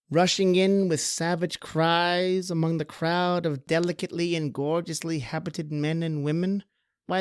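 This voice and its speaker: A woman's voice: on the low side, darkish tone, dry recording, studio quality, close to an everyday voice. Woman playing a sly, theatrical jester dwarf. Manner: theatrical, deliberate, drawn-out phrasing. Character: cunning crippled male court jester, slyly scheming dwarf.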